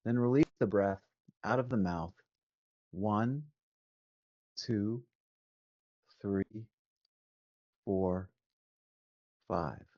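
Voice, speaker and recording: Slow, low-voiced man guiding meditation. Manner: calm, measured, gently instructing with long pauses. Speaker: meditation teacher. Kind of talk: guided meditation instruction. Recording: phone-like narrow band, roomy echo.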